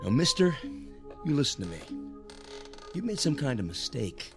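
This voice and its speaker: An actor in a radio drama playing a gruff, indignant older male homeowner. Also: medium pitch, big pitch swings, normal speed, music in the background. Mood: indignant, threatening.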